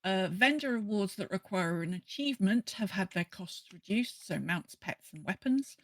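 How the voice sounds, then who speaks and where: Female podcast co-host, chatty explainer, mid pitch, very dry close recording. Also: expressive, normal speed, no echo. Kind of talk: casual gaming podcast chat.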